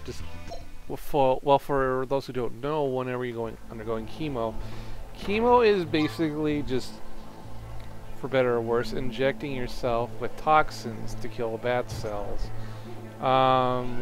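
Halting male gamer, game music behind. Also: medium pitch. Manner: halting, rambling, self-interrupting. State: slightly hoarse. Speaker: video game commentator. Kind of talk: casual off-the-cuff let's play commentary. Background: video game music.

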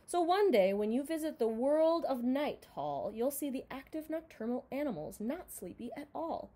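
A young woman reading a children's picture book aloud in an expressive, dramatized way, recorded in a room at home. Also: high voice, moderate pace. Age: young adult. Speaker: storybook reader.

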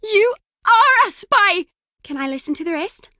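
An excitable, high-voiced chatty young woman. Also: normal speed, no room sound, clean studio sound. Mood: excited, gushing. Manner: playful, teasing, gushing. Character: chatty, cheeky, excitable young woman, nosy would-be friend. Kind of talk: video game voice acting.

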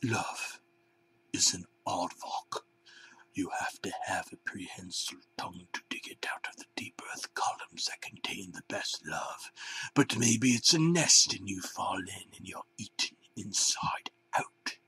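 A man voicing a creepy male banana creature leader in an eerie, slow, breathy whisper. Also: low voice, pushed and bright, voice pushed down, exaggerated voice, rough recording.

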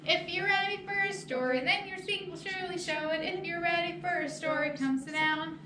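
A woman's voice: extremely high pitch, brisk. A woman presenting a children's storytime, leading young children in a singsong action rhyme. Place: small children's classroom indoors. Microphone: distant camera microphone. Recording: echoey, noisy room, far from mic.

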